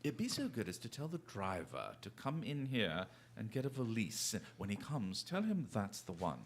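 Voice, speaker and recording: Radio drama lead: urbane, self-assured English detective, lowish register, very lively intonation, theatrical and amused. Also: male voice, a little hiss or hum. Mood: genial, sly. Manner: urbane, theatrical, drawn-out courteous phrasing.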